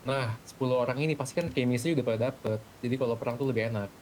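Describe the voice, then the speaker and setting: A man's voice: very fast, a little mellow. A young man with a colloquial Jakarta Indonesian accent, talking bluntly and casually in online game voice chat. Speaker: GTA roleplay gamer in a group meeting. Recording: gaming mic with faint low rumble.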